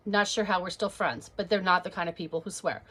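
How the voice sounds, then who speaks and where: A woman's voice: on the low side, quick pace, a bit bright. A woman performing a stand-up comedy set to a webcam, telling stories and acting out the people in them. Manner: storytelling, acts out quoted voices.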